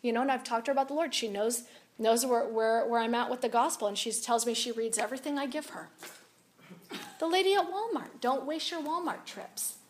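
A woman with a raspy voice giving an animated, confiding storytelling talk to a women's audience. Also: on the high side, fairly quick. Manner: animated, confiding, rises and drops a lot. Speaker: speaker at a women's event.

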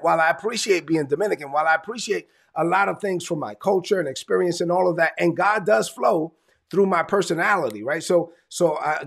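New pastor, husky voice, preaching hard and repeating himself. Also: male voice, a little high. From New York. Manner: repetitive, emphatic preaching with lists. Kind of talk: sermon.

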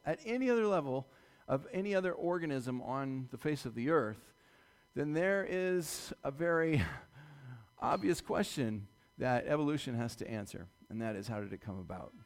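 A male preacher speaks in a fairly high voice with a slight scratch to it. He delivers his sermon like a conversation, informal and wandering. He often pauses to check that the congregation is following along. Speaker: church preacher.

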